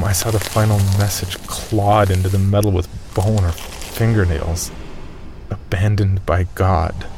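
Male horror narrator, lowish voice, measured and tense, some background noise. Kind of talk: scripted first-person horror narration.

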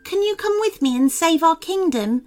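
A tiny storybook fairy, voiced by a woman, speaks in a high, lively voice. She is earnest, bossy and pleading, with music playing behind her. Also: moderate pace. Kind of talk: children's storybook character voice.

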